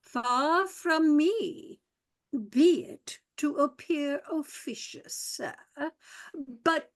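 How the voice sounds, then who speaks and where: A woman voicing a formal, deferential older male butler in a radio play, speaking with ornate politeness and hushed asides. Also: high-pitched, extremely slow, full character voice. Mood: stiffly polite.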